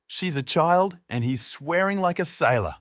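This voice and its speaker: A man's voice: fairly high pitch, lively intonation, normal speed, fairly bright tone, pitched above the performer's own voice. A man voicing a stern, no-nonsense woman who speaks firmly. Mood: disapproving. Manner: stern, firm.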